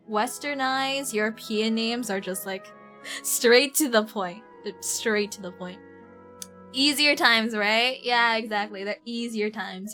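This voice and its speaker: A woman livestreamer chatting casually and slangily as she plays a game, rambling along in a giggly, animated way. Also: mid-range voice. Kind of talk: casual livestream chat while playing a game. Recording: game music behind the voice. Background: video game music.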